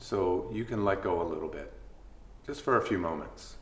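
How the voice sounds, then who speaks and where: A man leading a guided body scan meditation as a mindfulness instructor, speaking gently with unhurried pauses between phrases. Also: low-pitched, lively intonation. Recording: echoey room, faint background noise.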